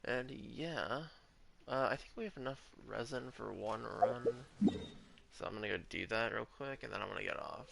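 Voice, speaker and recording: A man's voice: mid-range voice, moderate pace, gravelly, a crowd in the background. A young man doing casual live gaming commentary, slangy and offhand, sometimes muttering. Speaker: video game streamer. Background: game music.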